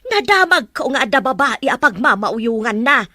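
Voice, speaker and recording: A woman playing an indignant, meddling mother who is quick to scold in a family melodrama. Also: fairly high pitch, big pitch swings, pushed and bright, dry recording, clean studio sound, heavily acted. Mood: gossiping, indignant. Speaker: actor. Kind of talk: melodrama dialogue.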